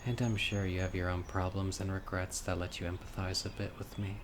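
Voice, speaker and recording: A man acting a critically ill, wistful and obsessive young man in an intimate, earnest audio roleplay monologue, musing softly to his date. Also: sits low, little pitch movement, brisk, close to an everyday voice. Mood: wistful, hopeful. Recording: clear outdoor insect and bird noise. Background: birdsong, crickets and insects of a lakeside.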